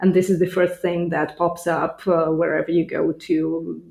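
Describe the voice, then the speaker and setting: A woman's voice: deep voice, level tone, moderate pace, vocal fry, a little mellow, reverberant. A woman from Ukraine with a Ukrainian accent, giving casual interview answers as a podcast guest. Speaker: podcast guest, language teacher.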